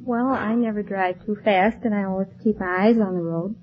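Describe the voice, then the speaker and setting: A woman's voice: mid-range voice, steady tone, a little fast. A woman chatting casually as a contestant on a 1940s radio quiz show. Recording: muffled old radio, loud studio crowd. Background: laughing studio audience.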